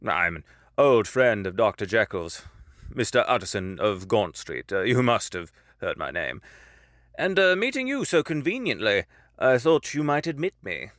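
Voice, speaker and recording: An actor playing a proper, earnest Victorian gentleman lawyer in an audio drama, polite, formal, wary and a little hesitant. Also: lowish voice, sing-song, normal speed, no room sound, clean studio sound. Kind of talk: theatrical audio drama acting.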